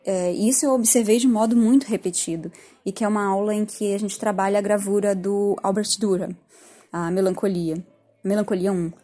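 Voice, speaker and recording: Woman, Brazilian accent, mellow medium-pitched voice, informal reflective commentary, teacher thinking aloud. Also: steady tone, normal speed. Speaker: art history teacher.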